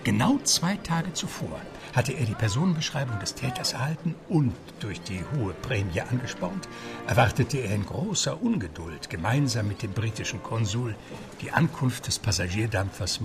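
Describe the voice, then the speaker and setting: An actor playing a genteel, wry butler who narrates a radio play and makes asides to the listener. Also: male voice, on the low side, big pitch swings, moderate pace, music in the background. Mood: wry, courteous. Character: James, a genteel English butler telling the tale.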